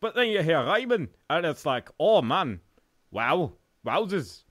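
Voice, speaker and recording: A man's voice: a little high, big pitch swings, a little slow, fairly bright tone, dry recording, studio quality, full character voice. A man playing a pompous, rambling, absurdly reverent expert in an improvised mock documentary.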